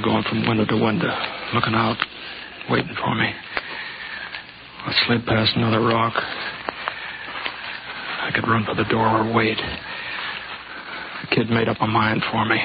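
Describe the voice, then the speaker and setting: A man's voice: lowish voice, completely flat delivery, moderate pace, creaky, long pauses, highs cut off. An American man acting a laconic, folksy drifter gunslinger in a 1950s radio western, on a hissy old recording. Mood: tense, reflective. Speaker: lead actor and narrator.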